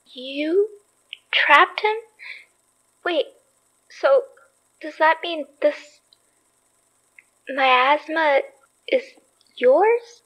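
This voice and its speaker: An anxious young woman in a horror audio drama. The voice is high and slow, breaking into earnest, stammered questions. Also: lively intonation, fairly bright tone, full character voice, low-quality recording. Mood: shocked, puzzled. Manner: halting, stammering.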